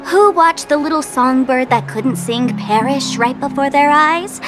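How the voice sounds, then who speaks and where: A woman's voice: high voice, measured pace. An actress voicing a mocking masked female trickster in a video game, teasing and cruel. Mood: taunting. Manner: teasing, sly, taunting. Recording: studio voice over game music.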